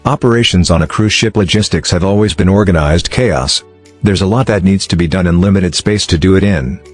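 A man narrates a scripted explainer in a low-pitched voice. His delivery is measured and formal, with music playing softly behind him. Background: background music.

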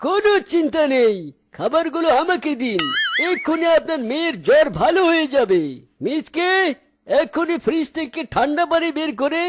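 A man's voice: very high voice, expressive, moderate pace, music in the background. A man voicing a gluttonous, carefree quack doctor, a cartoon animal with an exaggerated, wheedling way of talking. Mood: greedy, breezy. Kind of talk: cartoon comedy dubbing.

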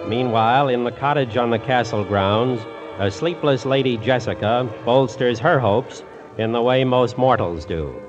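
A man's voice: mid-range voice, normal speed, over music. A man announcing and narrating a scripted 1940s radio drama. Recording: muffled old radio broadcast.

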